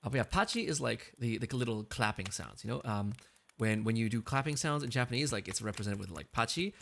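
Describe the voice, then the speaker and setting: A man's voice: medium pitch, expressive, fairly quick, gravelly, a little hiss or hum. A man streaming karaoke, chatting casually between songs, joking and reading chat messages aloud with a playful manner. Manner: joking, reads chat aloud, frequent laughs. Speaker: VTuber streamer.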